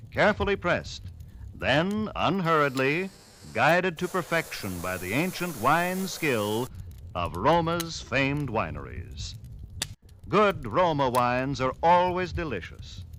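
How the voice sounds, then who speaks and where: A man announcing in a polished, formal 1940s radio style, selling with measured, deliberate phrasing. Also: medium pitch, a bit bright. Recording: hissy vintage radio broadcast.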